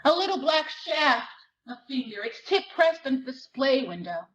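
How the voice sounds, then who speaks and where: A woman reading literary fiction dramatically, in measured, deliberate phrases with weighted pauses. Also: high-pitched, sing-song, a bit bright, rough recording. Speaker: dramatic reader at a library performance. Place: live reading at a library event.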